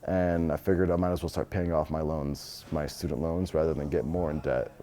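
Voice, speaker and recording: A man's voice: extremely low pitch, steady tone, a little fast, dark tone, a little hiss or hum. A man in his twenties with an American accent, answering interview questions casually in blunt, slangy speech with some swearing. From Los Angeles, United States. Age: 20s. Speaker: interviewee, business owner.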